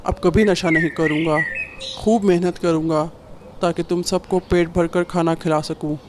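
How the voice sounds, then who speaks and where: A man's voice: fairly high pitch, steady tone, normal speed, noisy background, lightly acted. A man voicing a hot-tempered bird husband and father in a cartoon, with emphatic, dramatic delivery. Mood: remorseful, earnest. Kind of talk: Hindi cartoon story dialogue.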